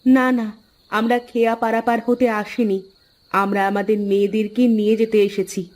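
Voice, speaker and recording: A woman playing a mother longing for her children in an animated moral story, emotional and insistent. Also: a little high, moderate pace, natural voice. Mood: firm. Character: anguished mother longing for her lost daughters.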